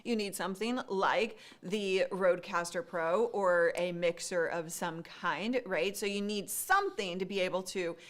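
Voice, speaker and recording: A woman's voice: on the low side, measured pace, few pauses. Mid-range female co-host, chatty and questioning, casual delivery. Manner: conversational, asks questions, many fillers. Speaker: streaming show co-host. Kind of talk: casual tech discussion.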